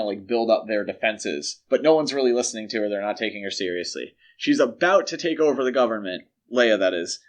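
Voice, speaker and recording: A man hosting a podcast, talking through films in a casual, analytical and emphatic way. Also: mid-range voice. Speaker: podcast host. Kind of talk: casual analytical film discussion.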